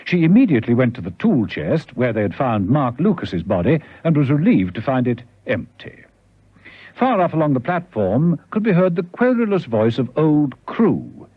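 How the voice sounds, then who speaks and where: An actor as the dramatic narrator of a classic spy radio serial, reading scripted storytelling. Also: male voice, medium pitch, sing-song, normal speed, husky, no room sound. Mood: suspenseful. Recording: dull lo-fi vintage radio recording. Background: music.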